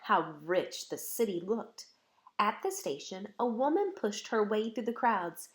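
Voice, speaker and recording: Slow, expressive woman reading a storybook. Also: mid-range voice. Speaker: storybook reader. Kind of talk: read-aloud narration of a children's picture book.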